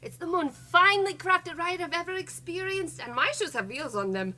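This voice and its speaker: A woman's voice: extremely high pitch, expressive, moderate pace, sharp tone, exaggerated voice. A woman playing a breezy, cheeky, naive space traveler in a comedic audio drama. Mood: chatty, pleased.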